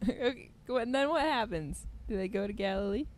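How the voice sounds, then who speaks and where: A woman's voice: on the high side, brisk. A woman co-host chatting casually, offering brief interjections and prompts as she listens. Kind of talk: casual chat.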